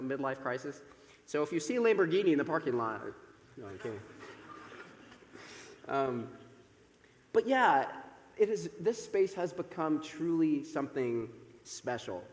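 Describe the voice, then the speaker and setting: A man in his thirties, a pastor speaking from the pulpit in a church sanctuary through a microphone, loud and chatty with many fillers. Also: fairly high pitch. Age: 30s. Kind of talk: sermon. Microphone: microphone over a PA system. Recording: echoey church hall with long reverb.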